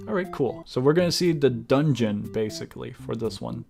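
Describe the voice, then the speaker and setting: A man's voice: medium pitch, animated, normal speed, creaky, darkish tone, soft background music. A man giving casual, slangy live commentary as he plays a game, muttering and reading text aloud. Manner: informal, slangy, muttering choices to himself. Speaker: game streamer.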